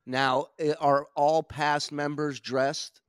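A man's voice: fairly high pitch, little pitch movement, slow pace, clean tone, no echo, studio quality. A man hosting a crude comedy podcast, teasing his guest and laughing often between hesitant phrases. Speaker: host.

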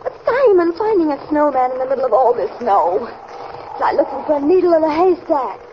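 Arch, high-voiced radio newlywed. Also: female voice, brisk. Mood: complaining, wry. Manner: arch, wry, expressive. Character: teasing, jealous, complaining bride of the sleuth. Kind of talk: old-time radio drama acting. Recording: dull, noisy old radio recording.

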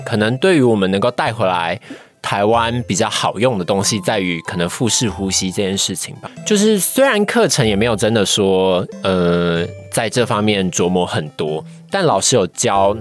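A young man with a Taiwanese accent chats as a podcast guest. His voice sits fairly low but swings up and down expressively, with faint guitar music behind it. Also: animated, moderate pace. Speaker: podcast guest, trainee voice actor. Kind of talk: casual chat.

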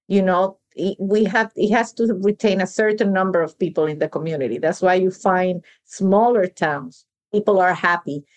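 A woman podcast host from Venezuela, speaking thoughtfully and haltingly as she works through her ideas. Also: on the low side, a little mellow. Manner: thoughtful, halting, repeats words as she thinks. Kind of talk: podcast interview conversation.

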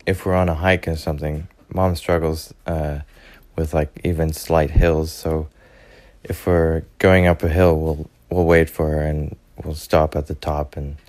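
A man's voice: very deep voice, mellow, dry recording. A young man answering questions casually in an interview.

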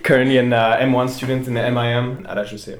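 A man in his twenties from Switzerland, a business student chatting as a guest on a podcast with relaxed talk and frequent restarts. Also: mid-range voice, quick pace, over a noisy crowd. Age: 20s. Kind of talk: casual interview chat. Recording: roomy echo.

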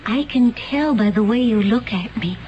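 A woman's voice: on the high side, moderate pace, faint music behind, lightly acted. An actress in a radio mystery drama playing a serene, mysterious woman from a far future world, speaking in solemn, cryptic, formal phrases. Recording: muffled, noisy old radio broadcast.

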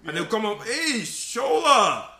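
Lively, bright-voiced Nigerian man. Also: high-pitched, unhurried. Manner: animated, laughs often, acts out others' lines. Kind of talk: casual chat, storytelling. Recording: roomy echoey room.

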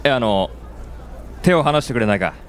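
A man's voice: medium pitch, lively intonation, normal speed, very noisy, close to an everyday voice. A man playing a shady hired errand-runner in a radio drama, casual in speech and easily rattled. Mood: alarmed.

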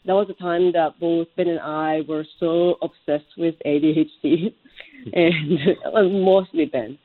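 A woman's voice: fairly low pitch, fairly even intonation, unhurried, phone-quality sound. A woman from Japan, answering interview questions conversationally and searching for words as she goes. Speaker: interview guest, startup co-founder.

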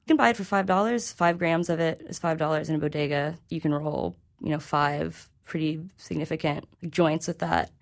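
A woman's voice: deep voice, steady tone, moderate pace, gravelly, hardly any pauses. A woman reporter speaking as an interview guest, explaining informally in a hesitant, conversational way.

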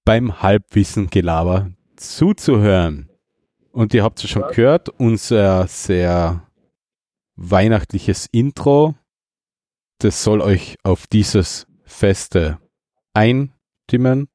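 A man's voice: lowish voice, takes it slowly, warm tone, no echo, studio quality. A man with an Austrian accent hosting a podcast in casual, rambling, jokey chat. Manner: jokey, self-mocking. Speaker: podcast host.

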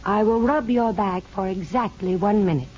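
A woman's voice: mid-range voice, normal speed, a little mellow, natural voice. An actress playing an earnest young woman in a restrained, plain-spoken 1950s radio drama style. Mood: gently teasing. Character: earnest young woman, former prisoner working as nurse's aide. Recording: dull, hissy 1950s radio broadcast.

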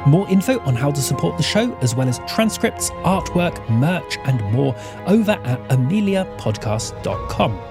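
A man announces podcast credits from a script. His voice sits on the high side and moves around expressively, with clear music behind it. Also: sing-song, moderate pace. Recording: music under the voice.

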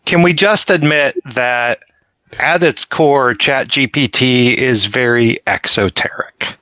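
A man's voice: medium pitch, slow, bright, forceful tone, dry recording, muffled recording. A man co-hosting a podcast with wry, joking casual banter. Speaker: podcast co-host.

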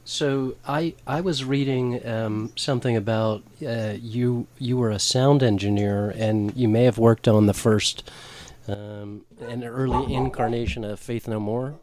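A man co-hosting a podcast asks questions in a mid-pitched, soft voice. He pauses and restarts as he goes. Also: steady tone. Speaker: podcast co-host. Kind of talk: casual interview questions.

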